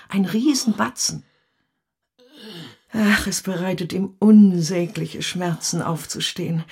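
A woman's voice: lowish voice, darkish tone, husky. An actress playing a doting, motherly old nursemaid, effusive and homely, in a radio drama.

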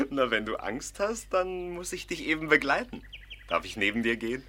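An actor playing a confident, amorous young country gentleman, teasing and gallant, in a German radio play. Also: medium pitch, animated, normal speed, a little hiss or hum. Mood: amused, gallant. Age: young adult. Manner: teasing, gallant, smooth-talking.

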